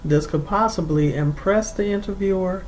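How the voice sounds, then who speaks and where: A career coach speaks in a mid-range voice, laying out advice in formal, measured phrases. The delivery is deliberate and instructional, like a prepared lesson. A faint noise and low rumble sit behind the voice. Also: a little mellow, rough recording. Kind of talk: instructional advice to camera, semi-scripted.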